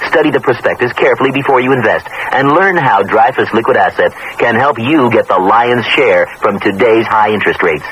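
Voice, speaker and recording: Animated American male ad announcer. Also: mid-range voice, fairly quick, hardly any pauses, poor audio. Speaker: commercial announcer. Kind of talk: scripted radio advertisement. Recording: old radio broadcast with faint hiss.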